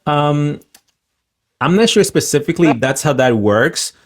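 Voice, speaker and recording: A man hosting a livestream call-in, calm and measured as he explains and keeps order. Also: on the high side. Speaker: host. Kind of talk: livestream talk moderating.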